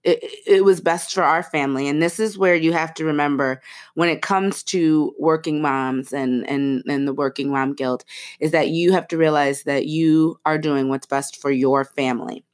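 A woman's voice: low voice, steady tone, normal speed. A woman in her thirties hosting a podcast with a head cold. She sounds stuffy and nasal and chats casually, with lots of fillers and restarts. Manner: chatty, many fillers and restarts. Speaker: podcast host. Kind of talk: casual chat.

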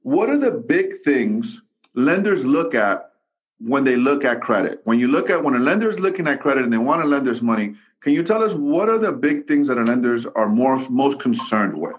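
A man hosting a real estate podcast, talking in an energetic, emphatic way, repeating and restarting his phrases. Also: mid-range voice, normal speed, muffled recording. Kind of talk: casual interview talk.

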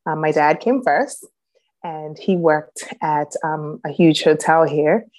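A woman's voice: deep voice, slow pace. A woman from Jamaica with a light Jamaican accent, telling her life story as an interview guest, reflective and full of "um"s. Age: adult, a grown child. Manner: reflective, many "um"s, warm laughs. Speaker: guest, reboot coach.

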